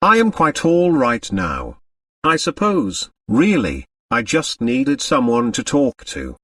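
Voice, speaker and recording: A man's voice: medium pitch, wildly swooping pitch, slow, a bit bright, no echo, studio quality, distant mic, full character voice. Man voicing an agitated, distraught wealthy woman. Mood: relieved, embarrassed. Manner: agitated, dramatic, confessional. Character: distraught, wealthy married young woman confiding her dread.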